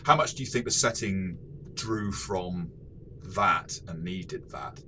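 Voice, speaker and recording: A man co-hosting a casual game review discussion, thoughtful and halting, often rephrasing mid-sentence. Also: low-pitched, lively intonation, noisy background. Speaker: co-host of a game review show.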